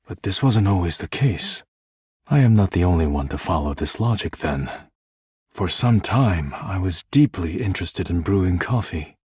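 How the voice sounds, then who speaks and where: A deep, heavily husky male voice, recorded close and dry, for a video game character. He is a reserved, melancholy perfectionist who speaks formally and carefully. Each phrase is measured and a little stiff. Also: a little mellow, no echo, full character voice. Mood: reflective, wistful.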